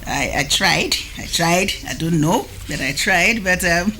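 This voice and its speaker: Woman TV host, lower voice, measured delivery, slight noise behind. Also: expressive, bright, forceful tone. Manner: measured, reads poems aloud slowly. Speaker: TV talk show host. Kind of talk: studio interview. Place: TV studio.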